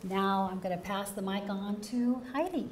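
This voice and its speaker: A woman's voice: medium pitch, moderate pace. Middle-aged woman explaining in a lecture hall. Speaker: physical therapist and lecturer. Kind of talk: public health lecture. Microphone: handheld microphone.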